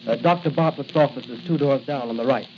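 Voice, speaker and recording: An actor playing a nervous, defensive hospital intern with a hesitant, protesting manner in a 1940s radio drama. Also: male voice, mid-range voice, fast talker, natural voice. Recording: dull, hissy 1940s radio recording.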